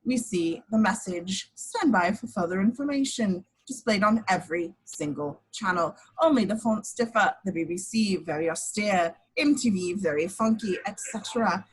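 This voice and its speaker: Slightly husky woman reading stage directions. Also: medium pitch, normal speed, few pauses, low-quality recording. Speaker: narrator reading action description. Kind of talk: scripted screenplay table read narration. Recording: home recording.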